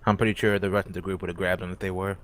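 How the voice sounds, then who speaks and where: A man's voice: low-pitched, level tone, racing, slight background noise. A man playing a role-play game, talking casually and somewhat tentatively as he explains his choices.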